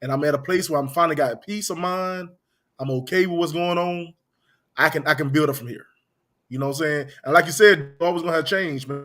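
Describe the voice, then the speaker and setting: A man's voice: on the high side, fairly bright tone. A man in his thirties hosting a podcast, talking loosely and repeating "man" and "you know what I'm saying" as he goes. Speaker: podcast host. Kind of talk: casual podcast chat.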